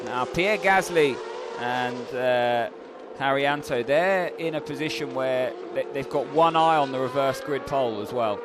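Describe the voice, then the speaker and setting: A man's voice: mid-range voice, measured pace, music in the background. A man as co-commentator, explaining race strategy, often restarting his sentences. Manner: explanatory, with frequent restarts and fillers. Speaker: co-commentator, expert analyst. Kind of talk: motorsport race analysis. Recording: voice over loud race-car noise.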